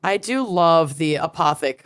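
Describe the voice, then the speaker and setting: A woman's voice: low voice, expressive, a little slow, smooth. A woman co-hosting a casual podcast chat with friends, playful and teasing. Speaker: podcast co-host.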